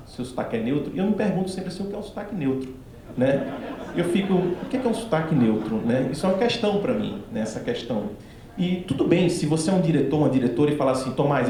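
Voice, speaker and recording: A man with a strong Northeastern Brazilian accent from Pernambuco tells a story animatedly and laughs often. His voice sits mid-range and sounds mellow. It is captured in a very echoey hall with faint background noise. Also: medium pitch. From Recife, Pernambuco, Brazil. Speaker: actor, panel guest. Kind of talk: casual discussion after a film screening.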